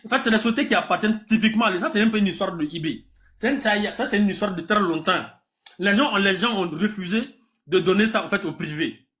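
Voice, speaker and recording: A man's voice: sits high, quick pace. A man with a Burkinabé accent arguing off the cuff in a live political debate, emphatic and repetitive, often stumbling over his words. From Burkina Faso. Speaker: debate participant. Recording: dull lo-fi live stream audio.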